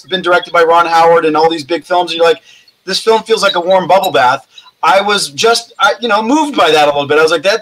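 A man's voice: high-pitched, a bit bright, poor audio. A man hosting a casual video call chat, upbeat and enthusiastic. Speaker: host.

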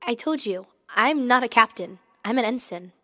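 An actress playing a stubborn, self-doubting young starship ensign in an audio drama, with a casual, blunt, questioning way of talking. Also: female voice, on the high side, expressive, moderate pace, sharp tone, no echo, studio quality. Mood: defensive.

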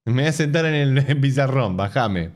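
Argentine man, 30s, streamer; sarcastic, quick to laugh, very lively intonation. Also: medium pitch. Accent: Argentine Spanish. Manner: laughs often, sarcastic jokey asides. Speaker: streamer reading a forum post aloud. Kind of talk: casual live-stream reading with mocking asides. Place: home room with bookshelves.

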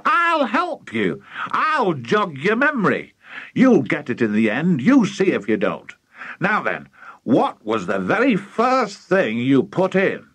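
A man voicing an excitable, scheming, overbearing father in a children's story, gushing and emphatic. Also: fairly high pitch, a little slow, gravelly, hardly any pauses, breathy edge, no room sound, voice pushed up, full character voice. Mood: bossy, excited.

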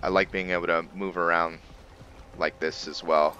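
A man giving roleplay game commentary, musing and pausing often as he talks. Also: deep voice, flat delivery, pushed and bright, lo-fi sound, a little hiss or hum. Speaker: gameplay video host. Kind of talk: roleplay game commentary with some reading aloud.

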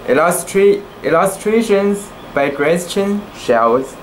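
A young man reading a children's book aloud in a casual, halting way, with cooing asides about the pictures. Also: high voice, lively intonation, background noise. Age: young adult.

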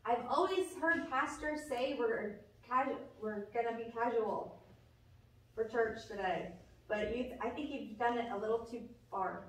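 A woman's voice: on the high side, unhurried, close to an everyday voice. A woman voicing a sensible, earnest, plain-spoken young puppet character in a children's church show. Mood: skeptical. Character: sensible, dry, know-it-all young puppet friend. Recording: echoey, noisy room, distant mic.

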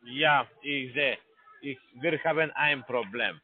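A man on a phone call, speaking in halting, broken German full of fillers and restarts. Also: medium pitch, breathy edge. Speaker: phone scammer caller. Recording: tinny phone line.

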